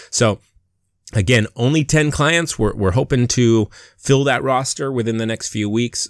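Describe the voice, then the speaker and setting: An American man in his forties from Hawaii talking casually as a podcast host, often halting and restarting his thoughts. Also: fairly low pitch, dry recording, studio quality. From Hawaii, United States. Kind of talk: casual conversational podcast talk.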